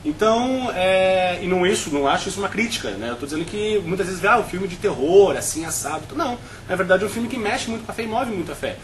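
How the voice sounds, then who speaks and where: A man's voice: high voice, expressive, quick pace, few pauses, a little hiss or hum. A Brazilian man on a film review panel, talking at length with frequent restarts and tag questions.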